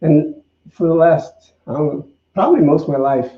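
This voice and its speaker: A man's voice: on the high side, normal speed. Man with lightish voice in echoey room. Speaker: minister, co-host. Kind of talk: informal spiritual talk. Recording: slightly dull sound, roomy echo.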